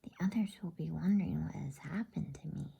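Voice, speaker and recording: Earnest little storybook girl , read by a woman: kindly, worried, pleading, in a soft breathy whisper. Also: sits low, a little fast, exaggerated voice. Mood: anxious. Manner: pleading, concerned. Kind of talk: whispered bedtime storybook reading.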